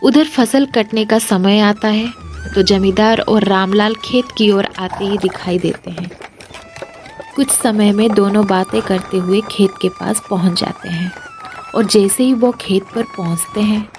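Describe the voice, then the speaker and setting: A woman narrating a children's cartoon story with a clear, even storyteller delivery. Her voice sits at a middle pitch, with faint music running underneath. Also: a little slow.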